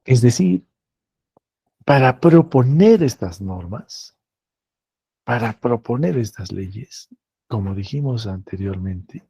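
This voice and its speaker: A man with a Peruvian accent giving a lecture as a professor, slow and deliberate, repeating phrases to stress a point. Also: mid-range voice, dark tone, husky, no room sound, lo-fi sound. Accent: Peruvian Spanish. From Peru.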